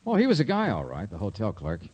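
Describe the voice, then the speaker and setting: An actor playing a cocky, wisecracking private detective in a 1950s radio drama, slangy and sardonic. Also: male voice, on the low side, wildly swooping pitch, quick pace, a little mellow, slightly rough, dull audio, full character voice. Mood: breezy, explaining.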